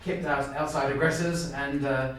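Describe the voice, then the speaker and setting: A man's voice: mid-range voice, fairly even intonation, normal speed, fairly bright tone, close to an everyday voice. A man improvising an evasive, flustered official, hesitant and stammering, recorded in an echoey room. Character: evasive, flustered male official dodging reporters' questions. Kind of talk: improvised interview role-play. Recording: echoey room, distant mic, faint noise.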